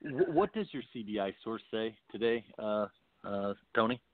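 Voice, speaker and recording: A man calling in to a show by phone, casually asking the hosts questions in a halting, hesitant way. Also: on the low side, lively intonation, dry recording. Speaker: caller. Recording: muffled lo-fi phone call.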